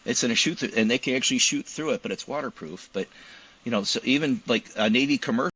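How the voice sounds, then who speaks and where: Husky-voiced man chatting in an interview. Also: mid-range voice, quick pace, fairly bright tone, rough recording. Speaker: guest, physician and novelist. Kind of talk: casual interview conversation.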